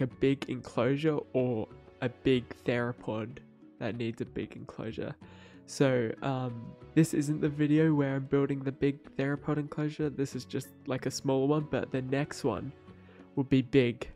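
A man's voice: mid-range voice, steady tone, a little slow, dark tone, soft background music. Slightly nasal young guy. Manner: rambling, many fillers and restarts. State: stuffy nose from a cold. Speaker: Minecraft builder narrating his speedbuild. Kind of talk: casual voice-over commentary.

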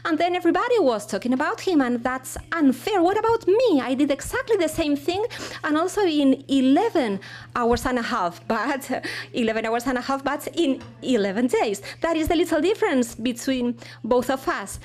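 Woman, simultaneous interpreter, high pitch, hesitant delivery with fillers. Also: animated. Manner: halting, with fillers and frequent restarts. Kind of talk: live interpretation of a keynote talk.

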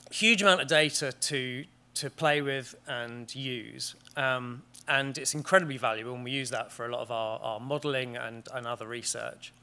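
A British man in his thirties giving a conference talk in a large wood-panelled auditorium, measured and steady, with frequent ums and restarts. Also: mid-range voice. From Great Britain. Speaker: conference speaker. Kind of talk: conference presentation.

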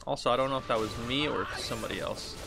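A man's voice: mid-range voice, fairly even intonation, fast talker, creaky, music in the background. A man in his thirties giving casual, rambling let's play commentary, thinking aloud as he plays. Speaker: gaming video host. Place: indoors at a computer desk.

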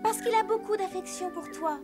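A woman voicing a frail, gentle, timid young girl in a French cartoon dub, speaking hesitantly. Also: very high voice, moderate pace. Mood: gentle pleading. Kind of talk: French animated film dub. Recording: music behind the voice.

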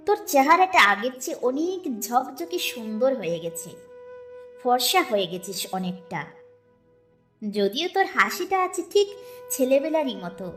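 A woman reciting a poem dramatically, with expressive, measured delivery and deliberate pauses. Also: medium pitch. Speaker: poetry reciter.

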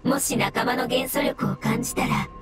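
A woman voicing a gentle, melancholy floating mushroom spirit in an anime game, with polite, solemn delivery. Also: high voice, steady tone, normal speed, loud music behind the voice, close to an everyday voice. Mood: gentle, hopeful. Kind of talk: Japanese anime game voice acting.

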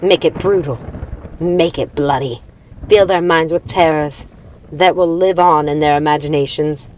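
A woman's voice: deep voice, unhurried, a little mellow, a little hiss or hum. Woman acting a menacing, imperious witch queen mother. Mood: commanding, bloodthirsty. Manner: commanding, theatrical.

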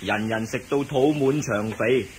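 An actor playing a cheerful, chatty young palace kitchen servant in a Cantonese radio drama, with a Hong Kong accent and drawn-out colloquial delivery. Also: male voice, mid-range voice, close to an everyday voice. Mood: chatty, explaining. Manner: animated, colloquial, drawn-out words. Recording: noisy old radio drama recording.

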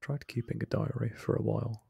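Young man for a relaxed let's play, soft-spoken and self-deprecating, flat and mellow. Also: fairly low pitch, fairly quick, vocal fry, husky, dry recording. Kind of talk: casual late-night gameplay commentary. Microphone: close desk microphone, no webcam.

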